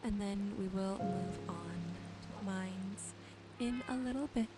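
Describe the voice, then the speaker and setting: A woman gently narrating her gameplay as a sleep ASMR host, soothing and unhurried. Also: medium pitch. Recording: music behind the voice. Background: soft music, game rain and animal sounds.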